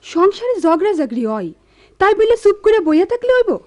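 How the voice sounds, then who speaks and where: A woman's voice: very high-pitched, big pitch swings, brisk. A woman playing an outspoken young village sister in a radio drama, protesting, with a rural Bangladeshi accent.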